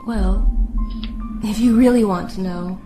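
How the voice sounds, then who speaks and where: A woman's voice: medium pitch, unhurried, close to an everyday voice. An actress playing a guarded, heartbroken female prisoner in an audio drama, aloof and fatalistic, speaking with dramatic emphasis. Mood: wistful. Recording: roomy echo with music underneath.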